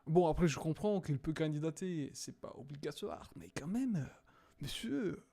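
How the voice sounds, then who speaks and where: A young man casually reacting to videos, hesitant with many fillers, sometimes bursting into loud exclaiming. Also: a little high, lively intonation, moderate pace, creaky. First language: not English.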